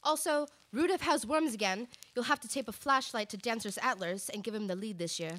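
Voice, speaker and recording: A young woman playing a suspicious, businesslike wife with a brisk, accusing manner in a comic radio soap parody. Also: fairly high pitch, a bit bright, close to an everyday voice. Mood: exasperated. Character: suspicious, businesslike middle-aged wife, Mrs. Claus. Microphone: stand microphone in small radio studio.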